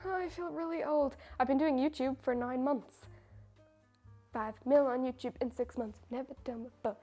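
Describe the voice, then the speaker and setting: A woman's voice: high-pitched, moderate pace, mellow, faint music behind. A young woman, a baker, answering viewer questions in a chatty, self-mocking way. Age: young adult. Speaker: baker and video creator. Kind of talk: casual question-and-answer chat.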